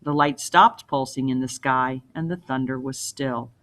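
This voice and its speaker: A woman storyteller giving an expressive read-aloud with casual asides. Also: very deep voice, a little slow.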